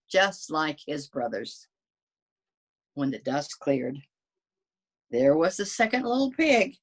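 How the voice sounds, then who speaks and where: Woman as a low, husky, wily storybook wolf. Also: fairly bright tone, full character voice. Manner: folksy, self-justifying storytelling. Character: wily male wolf pleading his innocence. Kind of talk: storybook character narration.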